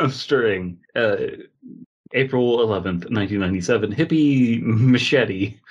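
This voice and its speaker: A man's voice: mid-range voice, unhurried, highs cut off. A man hosting a podcast, chatting casually in a rambling, joking, informal way. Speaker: podcast host. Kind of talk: casual comedic podcast chat.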